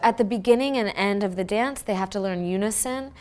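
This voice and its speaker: A woman teacher calmly explaining her school's dance project in a documentary interview. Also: mid-range voice. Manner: explanatory, measured.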